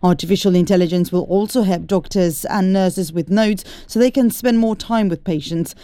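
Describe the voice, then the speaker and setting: A woman reading a radio news bulletin in a steady, clear manner. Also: mid-range voice, normal speed, no room sound, studio quality. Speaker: newsreader. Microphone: studio mic.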